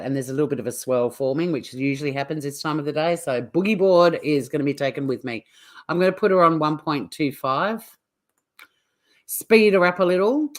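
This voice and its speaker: A woman hosting a casual livestream, chatting to viewers in an informal, teasing way. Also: deep voice. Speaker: livestream host.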